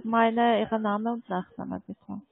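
Female call-centre agent, halting and slow, gravelly edge, narrow phone sound. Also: fairly high pitch, fairly even intonation, faint music behind. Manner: hesitant, halting. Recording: narrow tinny phone line.

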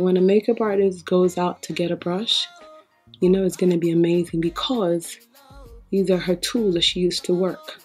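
A middle-aged woman from Nigeria with a British accent and a hoarse voice, chatting casually through a makeup tutorial in her sitting room. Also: lowish voice, a little mellow. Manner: chatty, breezy, thinking aloud while working. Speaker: makeup vlogger. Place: sitting room in front of a sequin backdrop.

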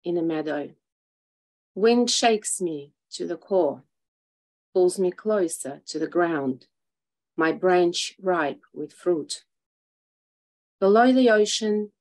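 A woman's voice: on the low side, darkish tone. A woman, introducing and reading poems on a video call. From Russia. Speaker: poet at an open mic. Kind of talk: poetry reading with a short spoken introduction. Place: small indoor room.

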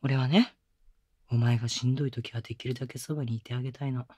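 A doting young boyfriend, voiced by a man, rough-mouthed yet endlessly gentle. His voice sits in an ordinary middle pitch and drops to soft, close whispers now and then. It is captured intimately on a binaural mic, as in a roleplay audio drama. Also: steady tone, fast, lightly acted. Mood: tender, teasing. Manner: casual rough slang softened by gentle coaxing. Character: young male boyfriend, rough-spoken but doting caretaker. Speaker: voice actor. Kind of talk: Japanese girlfriend-experience roleplay audio drama.

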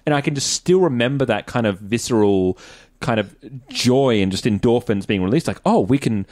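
A man's voice: medium pitch, expressive, moderate pace, darkish tone, no echo, clean studio sound. An Australian man hosting a casual podcast interview, asking rambling, slightly hesitant questions.

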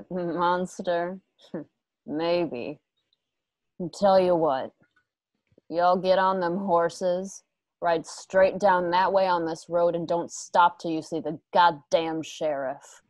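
A woman performs a scarred, sadistic female outlaw for a radio Western. Her voice sits fairly low and moves slowly, with folksy menace. The sound is dry, from a home recording. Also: fairly even intonation, darkish tone, dry recording. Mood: sadistic, mocking. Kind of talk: Western radio play acting. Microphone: home video call.

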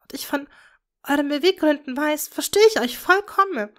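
A woman's voice: lively intonation, normal speed. A woman voicing a shy, tearful young witch who stammers in a raised voice. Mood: earnest. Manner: stammering, high and eager. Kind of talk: fan fiction reading.

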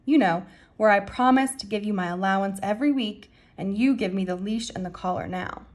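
A woman's voice: mid-range voice, brisk, lightly acted. A woman reading the part of an eager, polite preacher's daughter, earnest and folksy, in a children's story. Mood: hopeful bargaining.

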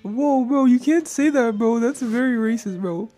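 A man's voice: extremely high pitch, normal speed, dark tone. A man playing a young woman who fakes a guy voice on voice chat, saying "bro" constantly. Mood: defensive. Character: young woman faking a dudebro voice. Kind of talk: Discord voice chat trolling.

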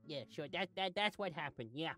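A woman voicing a perky, teasing little cartoon sidekick in a comedy game series, chirpy, excitable and coaxing. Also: low-pitched, brisk, soft background music. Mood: sheepish. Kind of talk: voice-acted comedy over gameplay.

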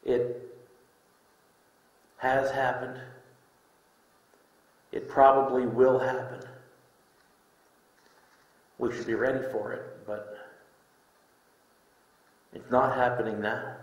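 A middle-aged man preaching a sermon in deliberate short phrases with pauses, reading scripture from a lectern. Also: medium pitch, little pitch movement. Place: indoor room, speaking from a lectern. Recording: echoey room.

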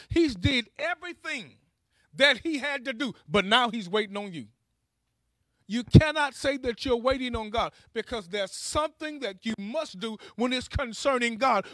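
A man's voice: very high voice, fairly bright tone, no room sound. A man preaching a charismatic sermon in a fervent, emphatic way, exhorting his listeners and repeating key phrases.

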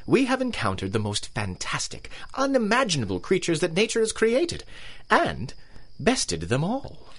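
Pompous colonel, wildly swooping voice. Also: male voice, high voice, wildly swooping pitch, normal speed, a bit bright, exaggerated voice. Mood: grandiose menace. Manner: pompous, theatrical, genteel menace. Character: pompous British colonel, big-game hunter villain, coldly courteous. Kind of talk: radio drama acting.

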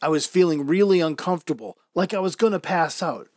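A man's voice: on the high side, brisk, darkish tone, dry recording, studio quality. A man reading a scripted first-person story as narrator, in the manner of old-time radio drama.

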